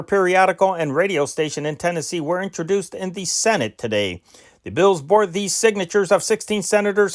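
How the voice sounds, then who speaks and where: Man reading old newspaper dispatches. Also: fairly high pitch. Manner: amateur, measured reading with occasional slips and self-corrections. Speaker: news reader.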